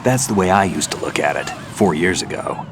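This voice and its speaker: Game veteran soldier: very low, gruff, earnest idealist, noisy backdrop. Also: male voice, expressive, fairly quick. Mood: reflective. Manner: terse, earnest. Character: world-weary, gruff veteran male soldier, idealistic loner. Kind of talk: dramatic video game acting. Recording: clear background noise.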